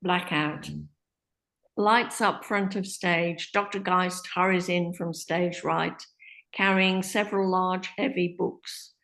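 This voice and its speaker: A woman's voice: lowish voice, fairly even intonation, slow, a bit bright, poor audio. A woman reading out stage directions as scripted narration for a play.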